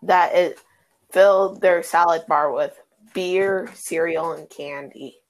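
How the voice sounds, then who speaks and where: A woman co-hosting a casual podcast, giving brief, hesitant answers with many pauses. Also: on the low side, low-quality recording. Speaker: podcast co-host.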